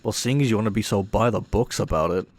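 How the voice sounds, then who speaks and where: A man's voice: lowish voice, fast, darkish tone, lightly acted. A man playing a cocky, irreverent ghost hunter in an audio roleplay, with a teasing, offhand manner. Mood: dismissive, teasing. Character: cocky, irreverent male ghost hunter showing a rookie around. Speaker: paranormal investigator character.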